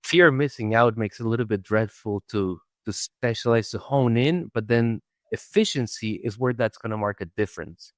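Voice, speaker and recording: A man's voice: fairly low pitch, normal speed, few pauses, no echo, studio quality. A man hosting a conversational interview, recapping points and prompting his guest. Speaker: podcast host interviewer.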